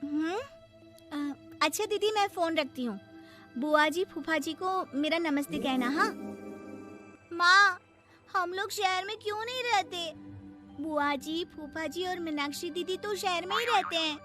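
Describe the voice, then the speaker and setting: A woman's voice: very high voice, unhurried, pushed and bright, full character voice. A woman voicing a naive, eager and stubborn village schoolgirl in a moral-story cartoon. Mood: eager, pleading. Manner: eager, wide-eyed questioning, pleading. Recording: music behind the voice.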